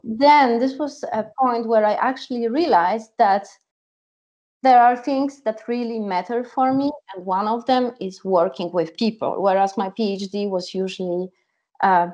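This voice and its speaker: A woman's voice: mid-range voice, fairly even intonation, measured pace, a little mellow. Woman in her 30s, earnest, talking through her story on a slightly muffled video call. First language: Polish. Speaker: psychologist and coach. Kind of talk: online talk.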